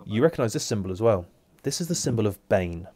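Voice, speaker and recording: A man's voice: fairly low pitch, big pitch swings, fast talker, dark tone, husky. A man narrating a tabletop game as game master, casual and hesitant with many fillers.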